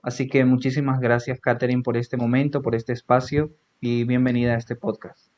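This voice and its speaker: A man's voice: mid-range voice, flat delivery, normal speed, a little mellow. A man with a Paraguayan accent hosting a casual podcast interview, talking with many fillers and tag questions. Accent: Paraguayan Spanish. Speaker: podcast host. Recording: dull lo-fi audio.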